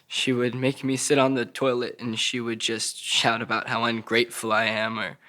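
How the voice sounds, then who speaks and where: A troubled young man, voiced by a male actor at a drama table read, speaks with a childlike plainness. He stammers and halts as he recounts painful memories, at a middling male pitch. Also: completely flat delivery, moderate pace, pushed and bright, lightly acted. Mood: haltingly confessional.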